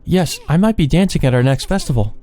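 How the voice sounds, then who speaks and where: A man's voice: a little high, animated, fast talker, warm tone, voice pushed up, natural voice. A man voicing a cheerful, sweet young girl in a visual novel, eager and lilting in how she speaks. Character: high-voiced cheerful girl.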